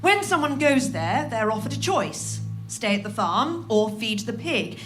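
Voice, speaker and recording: Actress as diplomatic female official, high-pitched, measured, placating, horror audio drama. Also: sing-song. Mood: explanatory, formal. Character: composed, diplomatic female interviewer from a secretive organization. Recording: background music under the voice.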